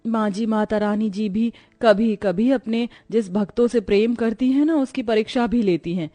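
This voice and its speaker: Woman playing a gentle, devout young daughter-in-law. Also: on the high side, normal speed, natural voice. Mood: patient, consoling.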